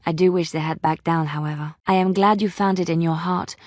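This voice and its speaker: An actress playing a devout, gentle young woman rogue in a fantasy video game, earnest and polite. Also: lowish voice, steady tone, brisk, no room sound, studio quality, close to an everyday voice. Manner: earnest, polite, softly pleading.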